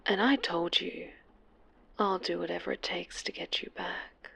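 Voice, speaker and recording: An actress plays a possessive superhero villainess in an intimate horror ASMR roleplay. Her voice sits a little low and moves very slowly, sliding into breathy whispers. She taunts with a mock sweetness that stays menacing. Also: steady tone, fairly bright tone, dull audio, a little hiss or hum, exaggerated voice. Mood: bitter, menacing.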